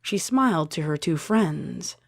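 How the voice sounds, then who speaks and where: Low-voiced woman reading a story aloud. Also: expressive, unhurried, gravelly, no echo, studio quality. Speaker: fan fiction reader. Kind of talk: scripted story narration. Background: music.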